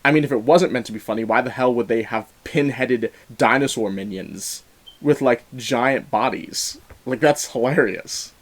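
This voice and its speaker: A man giving a conversational film review as host, animated and emphatic, with informal, slangy asides. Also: mid-range voice.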